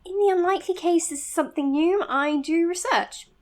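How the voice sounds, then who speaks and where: A woman playing an earnest, modest young psychiatrist in an audio drama, speaking in a measured, precise, slightly hesitant way. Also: very high-pitched. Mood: earnest, careful. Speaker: doctor being interviewed on a podcast. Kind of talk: audio drama acting, natural interview and voice notes.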